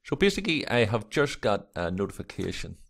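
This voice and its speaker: A man hosting a camera vlog, with a croaky early-morning voice, rambling and reading articles aloud with lots of fillers. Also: fairly low pitch, big pitch swings, brisk. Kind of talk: casual screen-recorded commentary.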